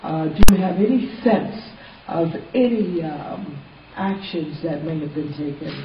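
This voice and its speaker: A woman's voice: deep voice, lively intonation, a little slow. A woman questioning a witness as a congresswoman at a formal hearing, with careful, hesitant phrasing. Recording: roomy, distant, dull lo-fi hearing audio, faint noise.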